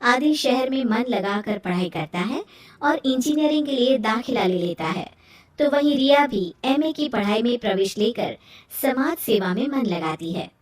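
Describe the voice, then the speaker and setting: A woman's voice: fairly high pitch, lively intonation, moderate pace. A woman reading scripted narration as the storyteller of a moral tale. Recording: roomy echo, distant from mic.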